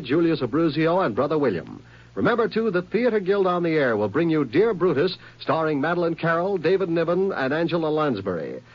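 A man announcing programs as a network announcer in a 1950s radio promotional style. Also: fairly high pitch. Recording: muffled 1950s radio recording.

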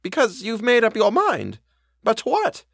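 A man puts on a kindly older housekeeper, pitched high and swooping with feeling. She is simple, earnest and easily startled, asking her anxious questions in a storybook reading, close and dry. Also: wildly swooping pitch, normal speed, gravelly, fairly bright tone, dry recording, full character voice. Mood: anxious pleading. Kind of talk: audiobook character dialogue.

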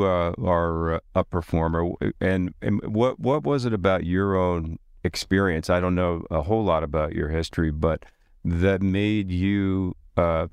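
A man hosting a podcast, asking questions haltingly with many repeated words. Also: deep voice, darkish tone, clean tone, no echo, clean studio sound. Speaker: podcast host. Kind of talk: casual interview questions.

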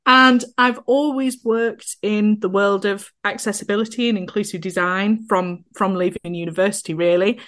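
A woman's voice: mid-range voice, fairly bright tone. A woman in her thirties with a Yorkshire accent, chatting cheerfully as a podcast interview guest and laughing easily. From North Yorkshire, England. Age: 30s. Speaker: guest, accessibility consultant.

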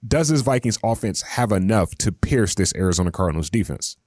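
Lowish-voiced male sports radio host. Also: lively intonation, brisk, darkish tone, no echo, clean studio sound.

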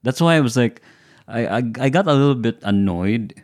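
A man's voice: mid-range voice, animated, moderate pace, darkish tone, no echo, studio quality. Man in casual chat, repeating words. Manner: repeats words, asks for agreement with "right?".

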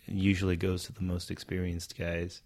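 A man's voice: sits low, monotone, normal speed, darkish tone. A man who works as a stunt performer, whose first language is Vietnamese, talking about a film in a casual chat. He speaks animatedly, laughs often and acts out quotes.

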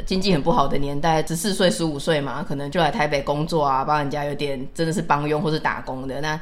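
A woman with a Taiwanese accent co-hosting a podcast, chatting casually and telling stories in a chatty, animated way. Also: low-pitched, fast talker. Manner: chatty, storytelling, laughs readily. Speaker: podcast co-host.

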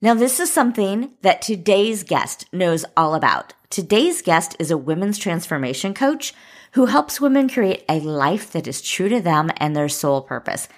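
A woman's voice: lowish voice, big pitch swings, moderate pace. A woman hosting an interview podcast, introducing a guest and asking questions.

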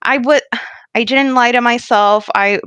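A woman's voice: on the high side, steady tone, unhurried, a bit bright, clear, resonant tone, dry recording. A middle-aged woman from Puerto Rico, a podcast guest talking casually about her life and often laughing. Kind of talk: casual interview conversation.

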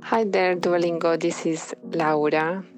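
A woman from Ecuador leaves a listener voice message in halting, heartfelt English. She speaks slowly with little pitch movement. Music plays clearly under her voice. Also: fairly even intonation. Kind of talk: casual voice message.

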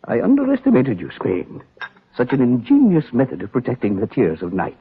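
A man's voice: medium pitch, huge pitch swings, brisk, breathy edge, exaggerated voice. A man acting a pompous, sly crime boss in a 1940s radio drama, florid, theatrical and over-polite. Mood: admiring, gloating. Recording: noisy, muffled old radio recording.

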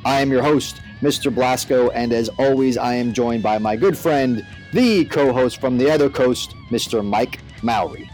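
A man with a medium-pitched voice hosts a podcast in a casual, slangy way. Guitar music plays behind him as he talks. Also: normal speed, few pauses, a little mellow, poor audio. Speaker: podcast host.

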